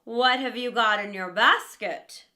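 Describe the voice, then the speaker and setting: A woman's voice: a little high, a little slow, bright, forceful tone. A woman voicing a sly, greedy, coaxing male wolf in a read-aloud fairy tale. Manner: cunning, coaxing.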